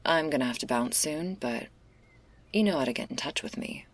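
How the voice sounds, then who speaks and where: A woman playing a laid-back, restless stoner werewolf in an intimate ASMR roleplay, husky and gravelly, confiding in a casual, hesitant, slangy way. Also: extremely low pitch, lively intonation, moderate pace. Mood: restless, reassuring.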